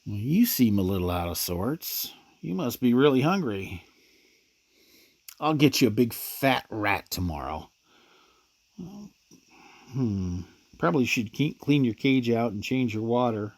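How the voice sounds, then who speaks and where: Man acting weary investigator, mid-pitched and conversational. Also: expressive, unhurried, pauses often. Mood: muttering, tired. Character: weary, troubled male searcher who lives with snake. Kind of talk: radio drama acting.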